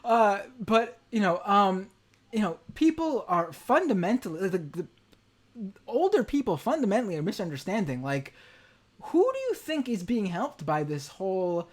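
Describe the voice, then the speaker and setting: A man's voice: high-pitched, very animated, moderate pace. A man in his thirties hosting a podcast interview, talking bluntly and jokily with some swearing. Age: 30s. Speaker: show host. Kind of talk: casual podcast chat.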